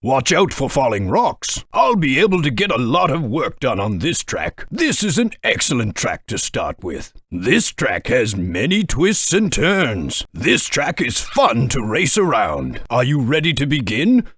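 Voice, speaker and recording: A man voicing a portly, kindly older male railway boss who gives brisk, encouraging instructions in a game. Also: mid-range voice, huge pitch swings, a bit bright, slightly rough, no echo, studio quality, exaggerated voice. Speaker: game guide giving instructions. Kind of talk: studio game voice-over. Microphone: studio microphone.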